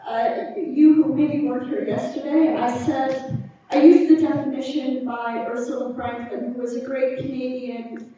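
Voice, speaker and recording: A woman's voice: high voice, little pitch movement, unhurried, mellow, dull audio, a little hiss or hum. A woman on a conference panel, speaking in an earnest, emphatic way. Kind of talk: panel discussion. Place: conference hall. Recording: echoey hall, distant mic.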